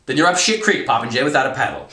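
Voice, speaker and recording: Storybook colonel, played by a man: loud exasperated bully, bright strained pitch, echoey room. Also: lively intonation, racing. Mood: sneering, menacing. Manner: shouting, exasperated, badgering interrogator. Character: furious, bullying, big military colonel barking at cadets.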